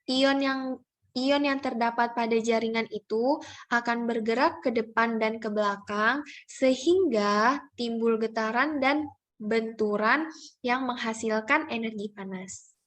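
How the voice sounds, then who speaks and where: A woman's voice: on the high side, a bit bright. A young woman, a student giving a prepared class presentation. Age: young adult.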